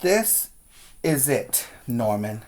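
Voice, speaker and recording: A man voicing a disappointed, exasperated young boy from a children's storybook, with emphatic, drawn-out delivery. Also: medium pitch, big pitch swings, darkish tone. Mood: exasperated, firm.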